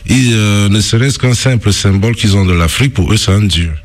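A man's voice: lowish voice, fairly quick. A man taking part in a radio discussion, speaking emphatically and building on what the others say.

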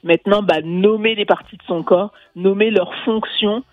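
A woman's voice: mid-range voice, steady tone, moderate pace, dry recording. A woman from Mali who grew up in France, a guest coach explaining things earnestly in a radio interview, with many fillers and restarts. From Mali, raised in France. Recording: phone-like line, dry, faint music.

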